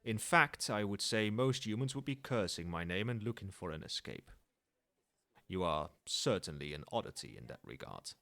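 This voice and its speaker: A man's voice: lowish voice, very animated, normal speed, vocal fry, sharp tone, heavily acted. A man playing a proud old dragon in human form in an ASMR roleplay, speaking in formal, lofty and teasing phrases, with fondness. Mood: fond, reassuring.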